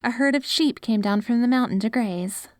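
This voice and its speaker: Casting: woman to play hopeful, devoted wasteland wife for ASMR drama. Higher-pitched, gently coaxing, very dry sound. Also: moderate pace, no room sound, lightly acted. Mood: tender, encouraging. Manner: tender, coaxing, speaks directly to a loved one. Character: devoted, hopeful wife caring for her zombie husband after apocalypse. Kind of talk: ASMR audio roleplay.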